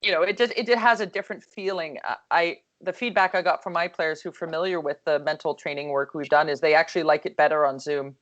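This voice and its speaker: A woman hockey coach chatting casually on a video call, with a calm, soothing voice and lots of fillers and restarts. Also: sits low, fast, few pauses, highs cut off.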